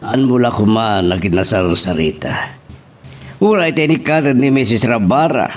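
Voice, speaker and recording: A man's voice: medium pitch, expressive, normal speed, soft background music, natural voice. A man playing a stern, confronting character in an audio romance drama. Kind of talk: Ilocano radio-style romance drama acting.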